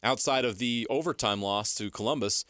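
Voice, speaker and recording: A man's voice: mid-range voice, normal speed, bright, forceful tone, dry recording, studio quality. A man co-hosting a sports radio show, talking through analysis of a team.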